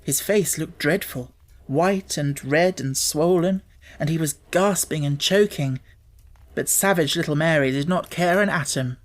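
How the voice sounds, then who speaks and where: A woman narrating a children's story as a scripted audiobook, with expressive storytelling. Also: low voice, measured pace.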